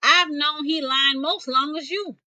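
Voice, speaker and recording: A woman's voice: high voice, measured pace, sharp tone, no room sound, voice pushed up, full character voice. A woman voicing a sly, unbothered male trickster rabbit from a folk tale in folksy dialect. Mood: curious.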